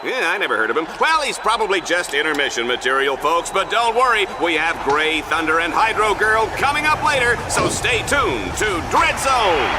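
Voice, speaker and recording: An actor playing a flippant, showy TV sports anchor with a glib manner, doing parody commentary in a video game. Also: male voice, high voice. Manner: glib, mocking. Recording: music behind the voice.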